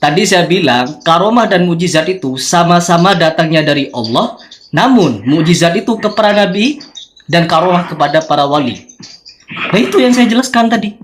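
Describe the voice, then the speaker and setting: A man's voice: sits high, expressive, normal speed, a little hiss or hum. A man with an Indonesian accent, assertive and explanatory, arguing his point in a phone discussion. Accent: Indonesian, non-Sundanese.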